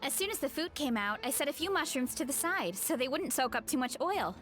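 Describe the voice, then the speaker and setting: A woman's voice: high-pitched, sing-song, fairly quick, fairly bright tone, faint music behind. A woman playing a modest, friendly young woman who is a champion big eater, in a game cutscene. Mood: helpful.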